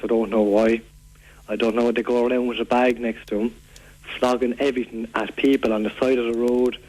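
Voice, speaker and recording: Irish male, Waterford accent, acting as a fed-up local phoning in to radio, earnest, repetitive, mid-pitched. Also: darkish tone, lightly acted. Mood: aggrieved, earnest. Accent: Irish, County Waterford. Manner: rambling, repetitive, earnest complaining. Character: aggrieved, rambling local man fed up with food-throwing youths. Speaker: radio phone-in caller. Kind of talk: prank call to a local radio show. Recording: phone call on local radio.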